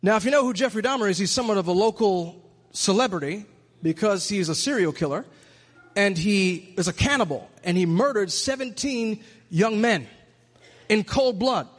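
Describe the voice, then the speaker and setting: Michigan-raised male preacher, higher register, earnest and building, big echoing hall. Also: expressive, moderate pace. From Michigan, USA. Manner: earnest, reflective, builds to emphatic. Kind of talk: sermon-style monologue. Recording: long hall reverb.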